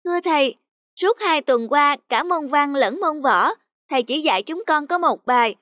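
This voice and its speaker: A woman's voice: high voice, expressive, moderate pace, a bit bright, dry recording, studio quality. A woman voicing a restless young schoolboy in a cartoon folktale, childlike and complaining. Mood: respectful complaint.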